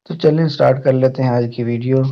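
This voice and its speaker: A man's voice: medium pitch, fairly even intonation, brisk. A man hosting a tech channel, narrating an app tutorial in an instructional way to his viewers. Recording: muffled, dull lo-fi voice-over.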